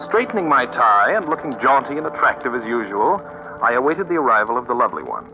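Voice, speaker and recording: A man's voice: medium pitch, big pitch swings, fast talker, highs cut off. A man acting a wry, jaunty sleuth in a 1940s radio thriller, with a sardonic, dryly formal, wordy delivery. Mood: smug, sardonic. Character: wry, jaunty male sleuth narrating his own story. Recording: vintage radio drama, music behind.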